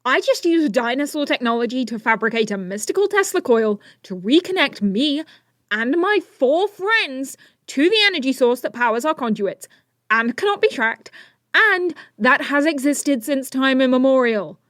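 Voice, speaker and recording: Woman as breezy, high-voiced teen inventor hero. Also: animated, moderate pace, fairly bright tone, dry recording, studio quality. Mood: breezy, confident. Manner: breezy, self-assured, wry. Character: confident, flippant teenage inventor superhero. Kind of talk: audio drama acting.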